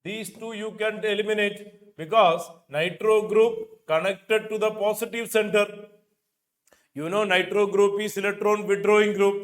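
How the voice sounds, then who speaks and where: A middle-aged man with an Indian accent teaching an exam coaching class, repeating key phrases for emphasis. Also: high voice. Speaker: chemistry teacher. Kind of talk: exam coaching lecture working through questions. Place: indoor teaching studio with a digital board. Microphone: lapel microphone.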